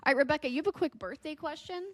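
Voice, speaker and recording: A woman's voice: high-pitched, very fast, dry recording. A woman hosting a podcast, talking casually with her co-hosts. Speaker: podcast host. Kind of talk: casual group chat.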